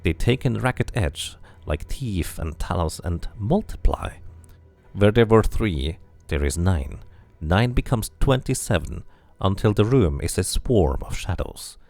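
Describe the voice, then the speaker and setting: A male streamer reads game narration aloud and chats casually in between. His lowish voice is gravelly and lively in pitch, and faint game music plays behind the dry close sound. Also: a little slow, dry recording. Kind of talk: casual game playthrough, reading all text aloud.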